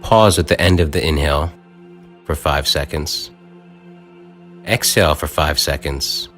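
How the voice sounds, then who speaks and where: A man leading a guided meditation, giving calm, soft instructions and counting breaths with long pauses. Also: rumbling low. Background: faint new-age music.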